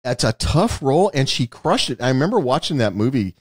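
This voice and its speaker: A man's voice: medium pitch, very animated, brisk, slightly rough, dry recording, clean studio sound. A man co-hosting a podcast in a casual film-review chat, telling stories in an emphatic, unguarded way. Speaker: podcast co-host, television producer.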